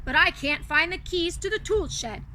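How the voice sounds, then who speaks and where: A woman's voice: extremely high pitch, moderate pace, sharp tone, slight background noise. A woman voicing a grumpy, rude male beast from a storybook in a blunt manner.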